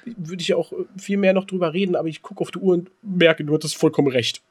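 A man's voice: high-pitched, fast, husky. A middle-aged man co-hosting a podcast in casual, bantering chat, talking in a blunt, colloquial way with some crude slang. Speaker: podcast co-host.

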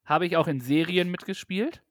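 A man's voice: sits high, normal speed, no room sound, studio quality. A man co-hosting a podcast, playing a casual guessing game, teasing and laughing often. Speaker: podcast co-host.

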